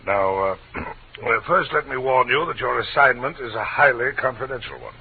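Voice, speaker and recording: Pompous newspaper publisher, swooping and husky. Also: male voice, mid-range voice, sing-song, normal speed, full character voice. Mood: urgent, confidential. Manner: pompous, declamatory, florid. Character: pompous, excitable male newspaper publisher, crusading. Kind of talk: 1940s radio drama acting. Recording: tinny vintage radio recording.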